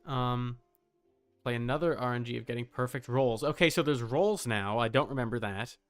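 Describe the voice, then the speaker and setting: A man's voice: medium pitch, moderate pace, no echo, soft background music. Casual man streamer, clean dry sound. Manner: casual, sweary. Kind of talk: casual livestream chat while making a game character.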